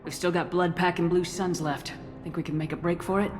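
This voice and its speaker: A woman's voice: lowish voice, fairly even intonation, brisk, close to an everyday voice. A woman playing a tough, no-nonsense military commander in a video game, speaking directly in clipped, commanding lines. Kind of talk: video game dialogue acting. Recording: reverberant game audio with background music.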